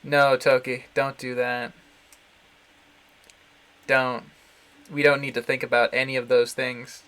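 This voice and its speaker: A man giving casual, halting live commentary on a visual novel, chuckling to himself as he rambles. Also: mid-range voice, level tone, fairly bright tone, smooth. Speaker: game streamer, host. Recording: game music behind the voice. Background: video game music.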